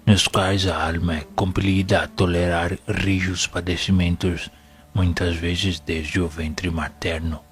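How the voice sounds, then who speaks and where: A man's voice: low-pitched, level tone. A man playing a wise, serene spirit minister in an audio drama, teaching in a formal and solemn manner. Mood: calm, instructive. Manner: solemn, measured, formal, instructive. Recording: music playing behind the voice.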